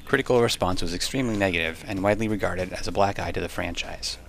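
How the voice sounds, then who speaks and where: A man's voice: deep voice, quick pace, gravelly. A man reading scripted documentary narration over background music. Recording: music under the voice.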